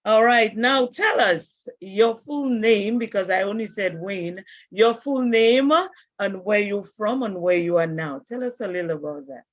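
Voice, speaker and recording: A woman's voice: medium pitch, a little slow, dry recording. A woman hosting an online interview and greeting her guest and audience over a video call. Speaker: interview host. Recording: dull dry video-call audio.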